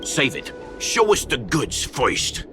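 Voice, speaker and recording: A man playing a skeptical young man in a video game, speaking in a dry, measured way. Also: mid-range voice, wildly swooping pitch, background noise. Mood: gruff.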